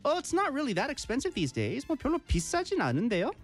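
A woman's voice: high-pitched, huge pitch swings, a little slow, soft background music, pitched above the performer's own voice, full character voice. A woman playing a friendly restaurant owner who greets and serves a customer. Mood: welcoming.

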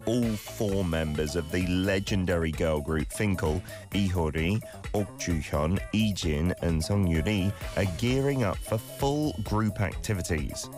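A man's voice: low-pitched, measured pace, music in the background. A man whose first language is Korean, hosting a radio show: he reads entertainment news from a script and chats casually between items with an easygoing, chatty manner. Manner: easygoing, chatty asides with fillers. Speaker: radio host. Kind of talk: scripted news reading and casual on-air chat.

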